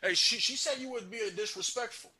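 A man's voice: extremely high pitch, fast talker, background noise. A man on a phone call speaking in slang, teasing the other caller and stammering often.

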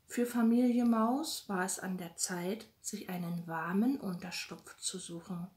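A middle-aged woman gently reading a bedtime story for children, speaking softly and directly to her little listeners. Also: medium pitch, unhurried. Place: small room at home. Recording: roomy, echoey home recording.

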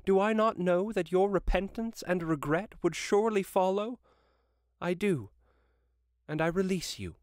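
A man voicing a sorrowful, resolute young woman in a storybook reading, earnest, measured and formal. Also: high-pitched, dry recording, clean studio sound.

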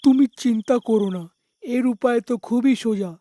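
A performer voicing a calm, all-knowing holy man from a cave in a cartoon, reassuring and explanatory. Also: medium pitch, a little fast, natural voice. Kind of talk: animated story dialogue.

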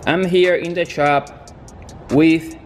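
A man's voice: a little high, steady tone, soft background music. Casual young man in a garage. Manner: casual, halting, with fillers and restarts. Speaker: car builder. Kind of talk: casual workshop vlog. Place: garage workshop, indoors.

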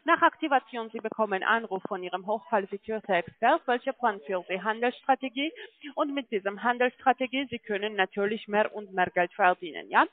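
A non-native German-speaking woman with a foreign accent giving a telephone sales pitch as a call-centre agent, often checking in as she goes. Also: fairly quick. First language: not German. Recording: muffled, tinny phone line.

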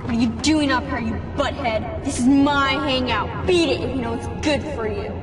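A woman's voice: high-pitched, moderate pace, close to an everyday voice. A young woman playing a mean, bossy teenage girl bully with a sneering, taunting manner. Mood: sneering, menacing. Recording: echoey, loud music behind.

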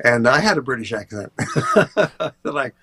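An older man, a tour guide, chatting over a video call in a relaxed, rambling way with many fillers. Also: mid-range voice, unhurried, breathy edge. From USA. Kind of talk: casual interview talk. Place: home office, indoors.

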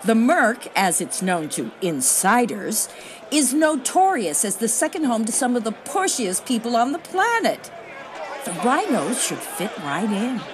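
A woman's voice: a little high, very animated, measured pace, a crowd in the background, background noise. A woman playing a perky, unflappable roving reporter in a satirical on-the-scene radio report. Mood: perky, wry. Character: perky, unflappable female radio reporter among talking rhinos.

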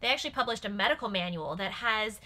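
A young woman chatting casually and enthusiastically in a rambling, animated way, like a nerdy fan. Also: mid-range voice, fast talker, a crowd in the background. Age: young adult. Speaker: guest commentator and Star Trek fan. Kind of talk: casual reaction chat. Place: small cosy furnished room indoors.

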